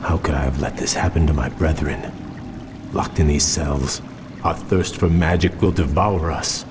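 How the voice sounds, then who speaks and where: An actor playing a proud, troubled young elf prince in a fantasy game, speaking in a grave, formal way. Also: male voice, very deep voice, normal speed, background noise. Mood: anguished. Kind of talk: dramatic fantasy game voice acting. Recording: background music under the voice.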